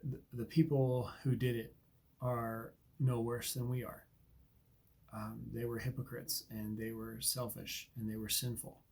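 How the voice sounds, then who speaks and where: Stuffy-nosed man with a husky voice. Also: mid-range voice, level tone, unhurried, darkish tone. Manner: earnest, reflective, with many ums and uhs. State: stuffy from allergies, sniffling. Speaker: Bible reading podcast host. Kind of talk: devotional commentary and scripture reading.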